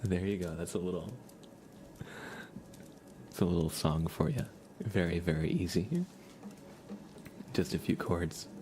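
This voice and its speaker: Soft, low-voiced man on an ASMR stream. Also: lively intonation, slight background noise. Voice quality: soft, partly whispered. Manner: unhurried, musing aloud, thanking viewers. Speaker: livestream host, musician. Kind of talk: casual ASMR livestream chat. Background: acoustic or plucked guitar playing.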